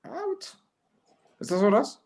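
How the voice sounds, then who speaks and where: Guy doing a stubborn old mexican rancher dad, tired, pitch jumps all over, slips into spanish. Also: very animated, slow, darkish tone, heavily acted. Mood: weary, concerned. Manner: mixes English and Spanish. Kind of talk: screenplay table read.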